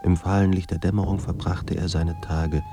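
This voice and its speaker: A man narrating a literary radio drama about a despairing writer in a grave, measured, introspective manner. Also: sits low, little pitch movement. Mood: bleak. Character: somber male narrator of a despairing writer's life. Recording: music under the voice. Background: music.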